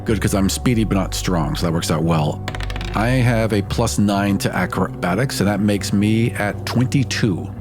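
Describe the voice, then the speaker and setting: A man's voice: on the low side, normal speed, music in the background. A man playing a tabletop game, casually narrating what his character does.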